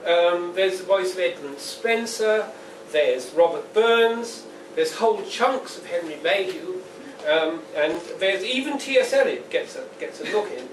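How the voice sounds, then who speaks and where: A man speaking as a poet at a live reading, with a deliberate, dry and wry delivery, recorded indoors at a distance. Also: high-pitched, expressive. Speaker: poet reading at a book launch. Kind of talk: public poetry reading with informal introduction. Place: indoor pub venue at a live launch event. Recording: echoey, noisy live room, distant mic.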